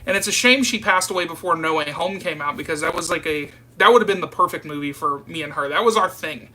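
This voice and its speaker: Bright-voiced man, fairly high-pitched. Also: quick pace, slight background noise, rough recording. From southern United States. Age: early 30s. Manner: informal, rambling, addressing viewers as "you guys". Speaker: live stream host. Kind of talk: casual live chat, reading and answering viewer comments.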